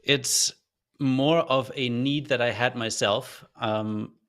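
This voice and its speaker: A man who grew up in Germany speaks with a faint German accent. He tells his story as a podcast guest, earnest and reflective, pausing and restarting as he thinks. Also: medium pitch, steady tone, a bit bright, smooth. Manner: thoughtful, earnest, loose with fillers and restarts.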